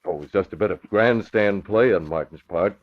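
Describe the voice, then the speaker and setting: Low-pitched businesslike newspaper publisher. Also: male voice, fairly quick. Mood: matter-of-fact. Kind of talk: 1940s radio acting. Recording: muffled old radio recording.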